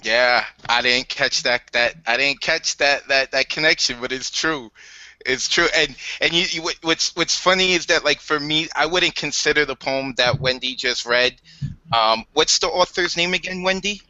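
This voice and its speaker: A man hosting a casual online poetry chat, often halting and repeating words as he goes. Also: fairly high pitch, bright, forceful tone, no echo. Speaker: host of poetry open mic. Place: indoors on an online group call. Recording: dull lo-fi online call audio.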